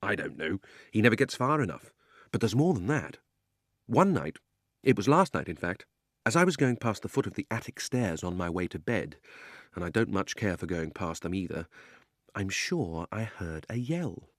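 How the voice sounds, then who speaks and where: Man voicing a young boy, storybook, aggrieved, secretive, swooping pitch, dry sound. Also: big pitch swings, moderate pace, no room sound. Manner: loud and aggrieved, then hushed and secretive. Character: miserable, grubby young boy with a temper. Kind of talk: storybook character voice.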